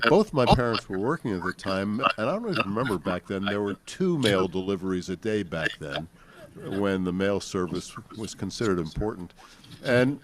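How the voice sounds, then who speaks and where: An older man with an American accent recounts family memories to an audience in a halting, deliberate, reflective way. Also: lowish voice. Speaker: panel speaker sharing family recollections. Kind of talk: unscripted storytelling to an audience.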